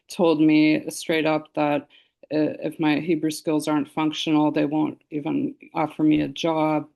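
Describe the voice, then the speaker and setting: Brief: a woman doctor with a gravelly, level voice, halting and hesitant, heard over a video call. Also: low-pitched, a little mellow. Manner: hesitant, many restarts and fillers. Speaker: physician asking a question. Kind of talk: webinar question from the audience.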